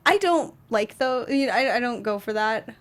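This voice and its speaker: A woman's voice: on the high side, expressive, moderate pace. Lady co-host chatting about games, kinda feels her way through each sentence. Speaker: talk show co-host. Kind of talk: casual chat discussing a video game.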